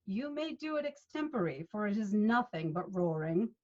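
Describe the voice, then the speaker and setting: A woman's voice: medium pitch, very animated, normal speed, darkish tone. A woman playing a patient male leader of amateur players in a Shakespeare read, instructing expressively over a video call. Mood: coaxing. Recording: dull video-call audio.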